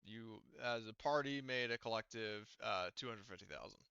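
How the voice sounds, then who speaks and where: Male game master, crisp and bright, casually talking players through rules, thinking aloud, very dry close sound. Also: on the low side, normal speed, no room sound, highs cut off.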